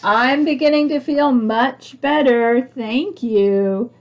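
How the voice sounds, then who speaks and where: A woman voicing a gentle, tired man who is kind to his friends, in a storybook read-aloud. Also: high voice, slow, lo-fi sound, a little hiss or hum. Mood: gently grateful.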